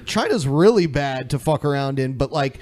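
A man's voice: mid-range voice, expressive, moderate pace, darkish tone. A man co-hosting a podcast, giving informal, sweary commentary in casual conversation. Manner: profane, informal. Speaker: podcast co-host.

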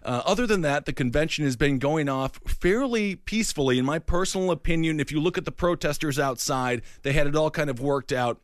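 A man with a Midwestern accent co-hosting a casual political comedy chat, riffing on jokes and quick tangents. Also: on the high side, no echo, clean studio sound. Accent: Midwestern American. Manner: joking, riffing, fast tangents. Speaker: podcast co-host.

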